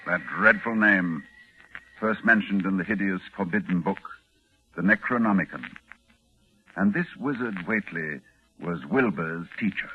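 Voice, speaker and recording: An actor playing a grave, learned doctor who narrates in formal, ominous 1940s radio style. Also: male voice, deep voice, a little slow, noisy background. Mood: ominous, urgent. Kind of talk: 1940s radio acting, dramatic scripted narration. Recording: muffled, narrow vintage 1940s radio, music.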